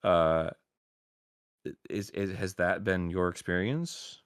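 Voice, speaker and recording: A man's voice: deep voice, slow, clear, resonant tone, no echo. A man as an interview guest, speaking thoughtfully with many "um" and "uh" fillers. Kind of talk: casual interview chat.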